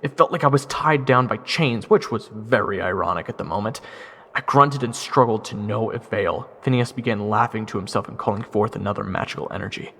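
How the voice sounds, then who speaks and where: A man's voice: fairly low pitch, fairly quick, creaky, pushed and bright, breathy edge. Male dramatic storyteller with long reverb. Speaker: narrator and hero of an audio roleplay. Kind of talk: first-person dramatic scripted narration. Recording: long reverb, music under the voice.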